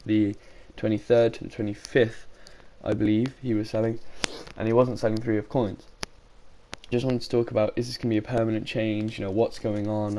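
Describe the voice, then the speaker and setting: A man with a sore throat rambles through a casual gaming video, stopping often to correct himself. His voice sits fairly low and has a dark, mellow tone. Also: slight background noise, low-quality recording. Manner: rambling, frequent self-corrections. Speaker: gaming YouTuber.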